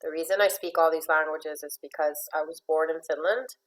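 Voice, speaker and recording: Woman from Venezuela, low-pitched voice; a life coach giving a friendly motivational talk, repeating words and laughing lightly. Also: fairly even intonation, a little fast, rough recording.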